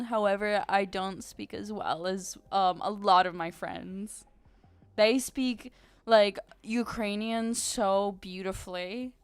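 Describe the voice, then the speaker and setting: A woman's voice: mid-range voice, clear, resonant tone, no room sound. Bubbly young woman chatting on stream. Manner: bubbly and chatty, many "like"s and giggles. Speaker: VTuber streamer. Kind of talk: casual live-stream chat with viewers.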